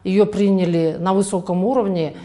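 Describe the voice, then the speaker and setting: A middle-aged woman, a fertility doctor, answering interview questions in an unhurried way with frequent hesitations. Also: medium pitch, steady tone, mellow. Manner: unhurried, many hesitation sounds.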